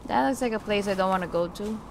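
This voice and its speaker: A woman's voice: mid-range voice, quick pace. A young adult woman giving casual, slangy, reactive let's-play commentary. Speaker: gaming video creator. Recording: clearly noisy, game ambience behind. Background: game ambience.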